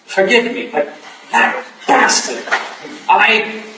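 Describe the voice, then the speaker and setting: A man's voice: high voice, lively intonation, slow. A man playing an anxious, affectionate Victorian husband in a staged reading, tender but defensive. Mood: flustered, defensive. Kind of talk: staged reading of a period drama. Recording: distant, very echoey room, clear noise.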